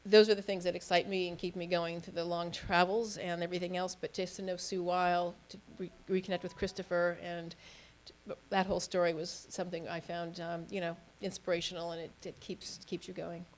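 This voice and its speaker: A woman's voice: lowish voice, flat delivery, brisk, slight background noise, low-quality recording. A woman telling anecdotes informally as a panelist at a talk. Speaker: panelist, auction house specialist.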